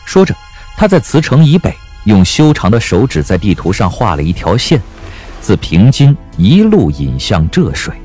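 A man's voice: lowish voice, huge pitch swings, normal speed, warm tone, soft background music. A man narrating a period audio drama as a storyteller, reading scripted prose dramatically.

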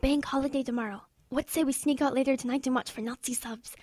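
A curious, adventurous little lad. The voice is high and excitable, with a dry sound suited to a radio play. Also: female voice, fast, dry recording. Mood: adventurous, boastful. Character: excitable, curious, adventurous young English boy. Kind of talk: radio drama acting.

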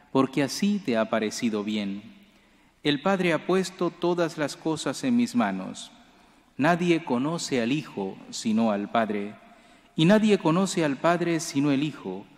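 A young male priest gives a homily from a lectern microphone, earnest and measured, lifting his voice on key phrases. His pitch sits in the middle for a man. The church adds a long reverberant tail. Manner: earnest, measured preaching with rising emphasis. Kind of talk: homily at Mass. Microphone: lectern gooseneck mic.

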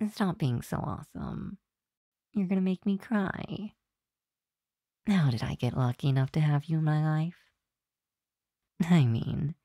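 Woman acting a mellow, low-pitched demon girlfriend. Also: no echo, clean studio sound. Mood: affectionate. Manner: soft, affectionate, sighing, confiding. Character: sweet, tired demon girlfriend venting after work. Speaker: ASMR roleplay performer. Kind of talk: ASMR roleplay, intimate monologue to listener. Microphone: close microphone, dry room.

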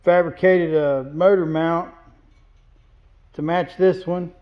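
Guy in a big metal shop talking thru a frame job, unhurried, kinda muffled camera audio. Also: on the high side, steady tone. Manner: plain-spoken, explains as he points things out. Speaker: welder and fabricator. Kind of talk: casual shop walkthrough. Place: large indoor metal fabrication shop.

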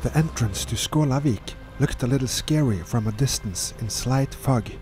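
A man of middle pitch narrates his sailing trip in a steady, measured voice-over. His phrasing is slightly non-native, and music plays clearly beneath him. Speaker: solo sailor narrating his voyage.

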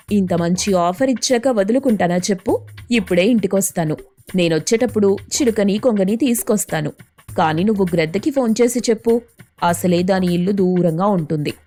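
A woman's voice: medium pitch, moderate pace, dark tone, soft background music, voice pushed up, lightly acted. A woman voicing an eager, chatty, food-loving pigeon in a children's cartoon story.

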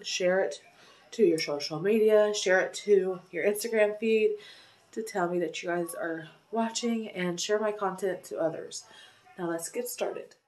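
A woman's voice: medium pitch, measured pace, distant mic, rough recording. Stuffy-nosed woman chatting to her phone. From Ohio. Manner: chatty, rambling with many fillers. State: congested from a cold. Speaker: vlogger. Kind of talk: casual vlog to camera. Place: small home pantry, indoors. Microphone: phone camera at arm's length.

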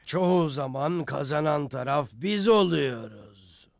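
Cartoon devil minion, male, highish gravelly voice, whiny, grumbling, gloomy. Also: expressive, slow, no room sound, clean studio sound, full character voice. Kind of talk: dubbed religious cartoon acting.

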